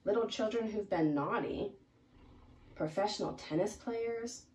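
A young adult woman presenting a picture-book read-aloud in an expressive, emphatic way for young children. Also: medium pitch, a little slow. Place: small indoor room, seated in armchair. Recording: roomy, distant mic, faint noise.

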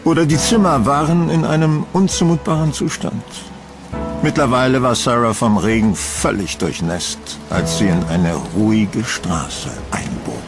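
A man narrating a scripted horror audio drama in a measured, solemn storytelling manner. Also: medium pitch, over music.

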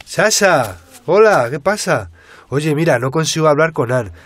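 An actor playing an earnest, casual investigative journalist in a radio drama, colloquial and explanatory. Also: male voice, a little high, animated, measured pace. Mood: concerned. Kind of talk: naturalistic Spanish radio drama dialogue.

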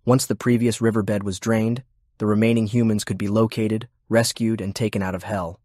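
A man's voice: on the low side, little pitch movement, moderate pace, darkish tone, no echo, studio quality. A man reading scripted war fiction as an audiobook narrator.

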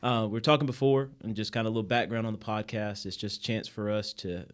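A man's voice: fairly low pitch, steady tone, fast talker, smooth. Upbeat man hosting a school podcast. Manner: upbeat hype-man welcomes with fillers. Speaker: podcast host, history teacher.

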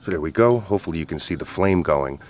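A man's voice: low voice, fast. A man, an outdoor skills instructor, casually explaining a fire starter while demonstrating it in his backyard, with lots of "uh" and "go ahead". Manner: relaxed, filler-heavy explaining.

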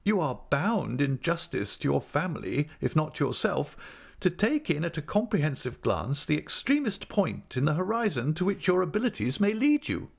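Male reader doing a fussy, earnest wife; high, lively delivery, emphatic. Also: normal speed, creaky, slightly rough, full character voice. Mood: insistent. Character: fussy earnest middle-aged wife, shrill and insistent.

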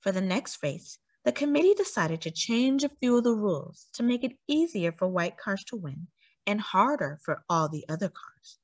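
Female children's storyteller, animated and emphatic read-aloud, very varied intonation, dry sound. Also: medium pitch, moderate pace, no room sound.

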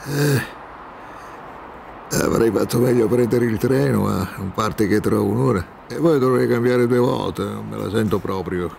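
A man's voice: on the low side, measured pace, gravelly, a little mellow, slight background noise. An actor playing an easy-going, amused travelling salesman in an Italian radio drama, musing and exclaiming. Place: theatre stage, radio drama recording.

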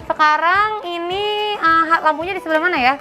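A woman in her thirties chats playfully while filming a family outing in an outdoor garden. Her voice sits high. Music plays under her. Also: moderate pace. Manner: playful, chatty, coaxing her children. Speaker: vlog host. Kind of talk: casual family vlog. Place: outdoor pet cafe garden.

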